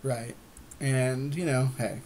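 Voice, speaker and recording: A man's voice: mid-range voice, steady tone, slow, a little mellow. A man co-hosting casual gameplay commentary, agreeing readily with playful, slangy banter. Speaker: podcast co-host playing an online card game.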